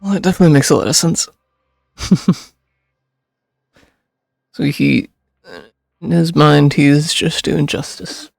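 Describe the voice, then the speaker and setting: A man streaming a game, casually reading along and reacting, thinking aloud in a halting way. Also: a little high, gravelly, no echo, studio quality.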